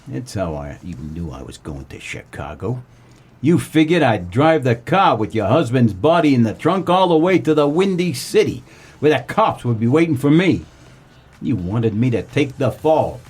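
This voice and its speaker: A man playing a wisecracking, deadpan 1940s private detective in a comedic noir radio play, with terse, slangy tough-guy delivery and a husky voice. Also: medium pitch, moderate pace. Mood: smug, accusing. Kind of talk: comedic noir radio play, first-person narration and dialogue.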